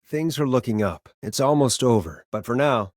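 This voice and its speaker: A man voicing a quick-witted, earnest radio call-in host in a video game, speaking in a conversational, wry way. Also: medium pitch, lively intonation, darkish tone, no room sound, studio quality, natural voice. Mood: showy on-air.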